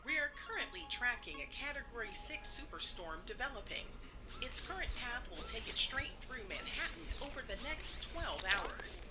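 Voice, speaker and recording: A formal female newscaster delivers scripted bulletins in measured announcer style. Her voice is high and very animated, over loud music in an audio drama. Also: rough recording.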